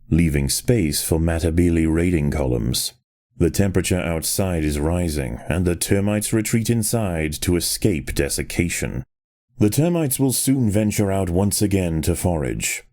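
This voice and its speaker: A man narrating a strategy game in the style of a nature documentary, with a measured, grave and dramatic storytelling delivery. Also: low voice, warm tone.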